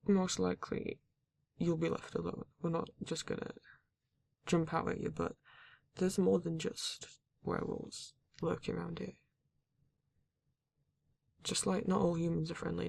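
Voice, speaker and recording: Someone doing a grumpy reluctant werewolf, super creaky voice, kinda flat, sweary and fed up, asmr audio drama. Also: medium pitch. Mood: firm warning. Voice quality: husky, gravelly. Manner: exasperated, sighing, swearing, halting delivery. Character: grumpy, reluctant werewolf, guarded but not cruel. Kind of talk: ASMR roleplay audio drama, intimate monologue.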